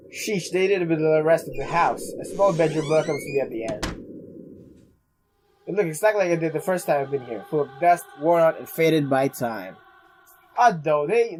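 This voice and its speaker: A man's voice: a little high, a little mellow, a little hiss or hum, poor audio. A young man hosting a let's play, reading story text aloud with casual asides and often stumbling over words.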